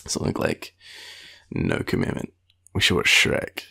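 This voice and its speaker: A tired young Australian man rambling in an intimate boyfriend roleplay, with a husky, gravelly voice and a playful, teasing, halting manner. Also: pushed and bright. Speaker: boyfriend roleplay audio performer.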